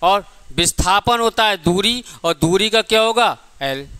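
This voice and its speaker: A man's voice: high-pitched, animated, measured pace, sharp tone, clear, resonant tone. A man teaching an exam-prep physics class step by step, often asking questions and answering them himself.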